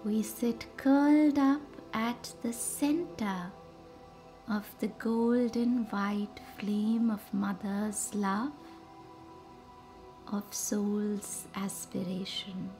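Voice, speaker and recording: Female meditation guide, unhurried and mellow with a touch of fry, over a music bed. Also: mid-range voice, steady tone, poor audio. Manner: soothing, measured delivery in short pausing phrases. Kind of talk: guided meditation with slow, reverent visualisation.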